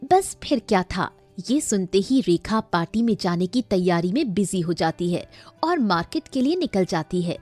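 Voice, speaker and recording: A woman's voice: medium pitch, animated, normal speed, a little mellow. A woman narrating a scripted story in a dramatic storytelling style. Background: faint music.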